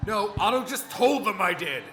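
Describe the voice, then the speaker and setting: A man voicing a troubled, hurried young male adventurer in an audiobook, speaking earnestly and urgently, often hushed. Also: sits high, expressive, soft background music, voice pushed up. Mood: agitated. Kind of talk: audiobook character acting.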